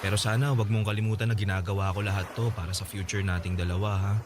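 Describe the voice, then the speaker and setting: A man's voice: on the low side, little pitch movement, fast talker, lightly acted. A man acting a hardworking boyfriend in a radio drama, reassuring and insistent. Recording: audible background hiss.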